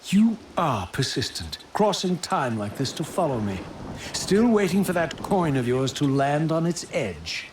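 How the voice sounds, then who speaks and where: An actor playing a bitter, defiant undead wraith in a video game, with eloquent, scornful, theatrical delivery. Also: male voice, on the high side, very animated, measured pace, background noise. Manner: eloquent, sardonic, biting theatrical diction. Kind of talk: dramatic video game voice acting. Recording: echoey hall reverb with music behind.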